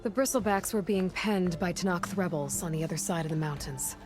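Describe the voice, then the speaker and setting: An actress playing a determined, pragmatic young huntress in a video game, speaking earnestly and matter-of-factly. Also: female voice, on the low side, fairly quick, music in the background, lightly acted. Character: determined, pragmatic young female hunter heroine. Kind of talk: game cutscene dialogue and musing aloud.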